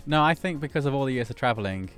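A young man co-hosting a podcast, relaxed and chatty as he recounts travel stories. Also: medium pitch, very fast, clean tone, faint music behind. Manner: easygoing storyteller, laughs along. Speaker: podcast co-host. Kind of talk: casual podcast chat.

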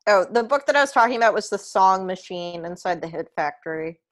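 A young woman with a low voice, chatting casually about pop music on a podcast, rambling with lots of fillers and restarts. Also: expressive, fairly quick. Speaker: podcast co-host.

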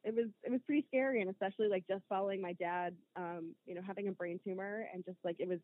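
An American woman in her thirties from Rhode Island talks casually and candidly as an interview guest, often pausing to find words. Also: medium pitch, steady tone, dry recording, narrow, tinny audio. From Rhode Island, United States. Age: 30s. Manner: informal, candid, with hesitant pauses and hedging. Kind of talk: casual personal conversation.

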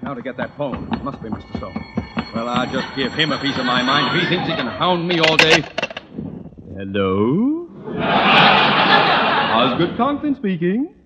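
An actor playing a pompous, irascible middle-aged school principal with a theatrical, blustering delivery in an old radio comedy. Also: male voice, medium pitch, very animated, moderate pace, pauses often, natural voice. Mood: exasperated, blustering. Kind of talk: 1940s-50s radio comedy acting. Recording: muffled, noisy old radio recording.

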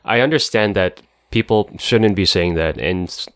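A young man in casual chat, calm and measured, reasoning things through carefully. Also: low voice, dry recording, clean studio sound. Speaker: podcast co-host.

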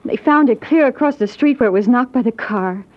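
A woman's voice: on the high side, animated, brisk, close to an everyday voice. A woman playing an anxious young client in an old-time radio detective drama. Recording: dull, lo-fi old radio recording.

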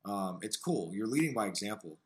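A man with a smoky, raspy voice hosting an interview, talking earnestly and searchingly about music and his own struggles. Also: on the low side, lively intonation, a little fast. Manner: earnest, searching, piles up phrases. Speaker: podcast host. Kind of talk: reflective interview talk.

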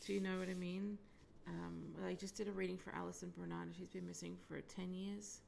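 A woman with a heavy, gravelly fry in her voice, hoarse and croaky as she talks. She speaks at a middling pitch and drifts between hesitant musing and half-whispered asides while she gives a psychic reading. A faint hiss sits under her voice. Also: steady tone, a little fast, a little mellow. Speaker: psychic medium. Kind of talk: solo psychic reading monologue.

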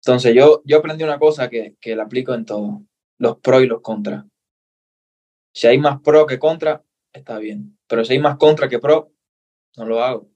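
A man's voice: medium pitch, normal speed, mellow, highs cut off. A man from Cuba with a Cuban accent, a podcast guest talking earnestly and repeating himself for emphasis. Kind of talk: casual interview.

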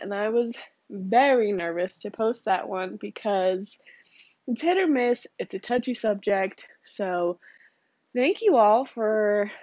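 A woman's voice: mid-range voice, a little mellow, dull audio. A young woman with a cold, chatting casually and rambling on her podcast from home, with lots of ums and likes. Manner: rambling, casual, laughs often, says um and like. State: sick with a cold and cough. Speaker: podcast host. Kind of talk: casual solo podcast chat. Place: home room with a fan.

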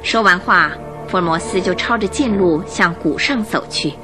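A woman's voice: on the low side, normal speed. A woman delivering dramatic, suspenseful scripted narration for a radio drama. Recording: radio drama with music underneath.

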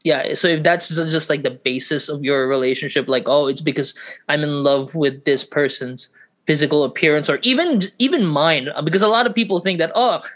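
A man's voice: on the high side, brisk, fairly bright tone. A man hosting a casual podcast, talking in a hesitant, playful way and often restarting his sentences. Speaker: podcast host. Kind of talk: casual chat.

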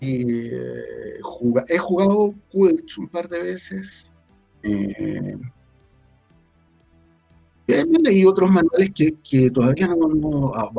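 A Chilean man talking casually as an interview guest, halting and thinking aloud as he goes. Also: mid-range voice, gravelly, dark tone, husky, no room sound. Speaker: guest, audio drama creator. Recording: faint music behind. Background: faint music.

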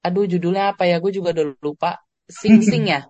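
An Indonesian man talks casually as a podcast guest. His voice sits a little high for a man and sounds dry and close, with no room around it. He speaks in a loose, slangy way, pausing on fillers. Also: no room sound, highs cut off. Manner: relaxed, slangy, with hesitant fillers. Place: living abroad, on a call.

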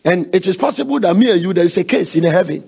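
Gravelly, raspy Ghanaian preacher man. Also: high voice, expressive, quick pace, darkish tone. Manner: emphatic, repetitive, conversational asides. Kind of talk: sermon with questions to the congregation.

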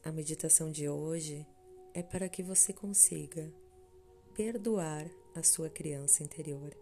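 A Brazilian woman guides a meditation in a low, mellow voice. She speaks slowly and soothingly, leaving long pauses between short, measured phrases. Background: background music.

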